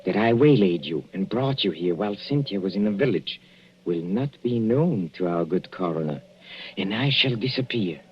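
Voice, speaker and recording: An actor playing a gloating, murderous older professor in 1940s radio suspense style, mock-courteous and savoring every hesitation. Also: male voice, on the low side, lively intonation, moderate pace, a little hiss or hum, natural voice. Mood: gloating, vengeful. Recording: muffled, dull old radio recording.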